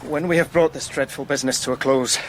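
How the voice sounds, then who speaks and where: An actor playing an earnest Victorian suitor on a dangerous mission in a radio drama. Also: male voice, medium pitch, steady tone, quick pace, slight background noise, natural voice. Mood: urgent, earnest.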